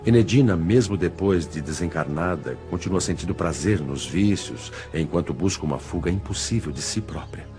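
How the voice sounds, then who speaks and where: A Brazilian man playing the sorrowful, self-pitying spirit of a betrayed husband in an audiobook drama, speaking deliberately and in literary phrases. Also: low voice, lively intonation, mellow. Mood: mournful resignation. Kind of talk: dramatized audiobook dialogue, confessional. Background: music.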